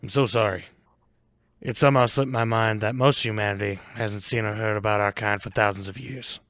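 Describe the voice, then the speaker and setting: Man acting old beast monster, low voice, dry recording, calm, formal, gentle, faintly unhinged, fantasy audio story. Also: dry recording, close to an everyday voice. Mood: courteous, explaining. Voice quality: calm, measured. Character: huge unhinged but gentle old goat-lion monster.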